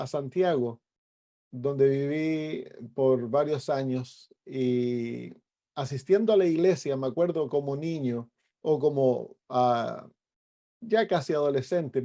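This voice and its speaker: A middle-aged man with a Chilean accent, an evangelist pastor sharing a personal testimony in a conversational storytelling way, with hesitant pauses. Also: medium pitch, warm tone.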